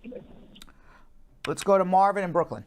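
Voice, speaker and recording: A man's voice: high voice, fairly quick. A man hosting a live call-in show, giving legal advice to callers in a blunt, emphatic, repetitive way.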